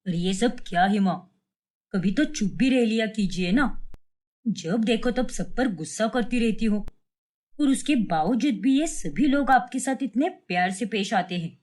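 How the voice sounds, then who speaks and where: A woman's voice: fairly high pitch, animated, a little slow, darkish tone, voice pushed down. Woman doing an earnest, reproachful young son. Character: young adult son, frank with his mother. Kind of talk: cartoon family drama story.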